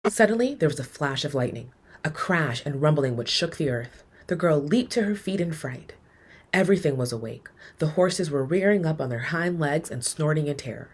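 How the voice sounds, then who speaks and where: A woman reading a children's storybook aloud in an expressive, measured storytelling manner, letting her phrases end softly. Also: low-pitched, slightly rough. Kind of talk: storybook read-aloud, scripted narration.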